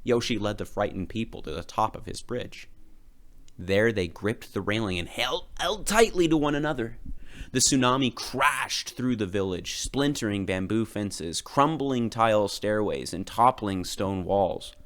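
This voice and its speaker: A man narrates a children's story aloud. His voice sits a little low but moves widely in pitch, with expressive, dramatic delivery. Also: measured pace. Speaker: storybook reader.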